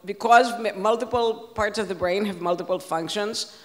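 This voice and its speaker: A man's voice: high voice, moderate pace. A man in the audience challenging the speaker, correcting him with technical terms. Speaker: audience member asking a question. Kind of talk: conference Q&A. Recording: echoey lecture hall.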